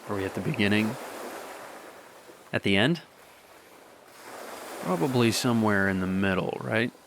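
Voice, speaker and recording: An actor playing a young man speaking softly and wistfully to a lost love in a radio drama, with quiet laughs. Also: fairly low pitch, expressive, unhurried, creaky, slight background noise, exaggerated voice. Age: young adult.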